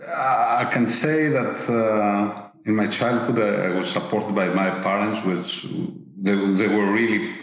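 Man, Greek accent, middle-aged, low voice, hesitant, full of fillers, very echoey room. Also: steady tone. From Greece. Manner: halting, many fillers and repeated words. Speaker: business executive guest. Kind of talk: interview answers.

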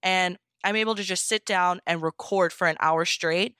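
A woman's voice: on the low side, steady tone, moderate pace, pushed and bright, clear, resonant tone, dry recording, studio quality. A woman talking casually and enthusiastically as an interview guest, in an informal, encouraging way. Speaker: podfic narrator being interviewed.

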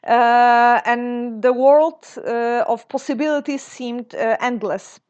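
A Polish woman in her thirties, a guest speaking in an interview, often pausing on fillers and restarting her sentences. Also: fairly high pitch, a little mellow, clear, resonant tone. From Poland. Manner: hesitant, many fillers and restarts. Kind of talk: interview conversation. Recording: dull lo-fi sound.

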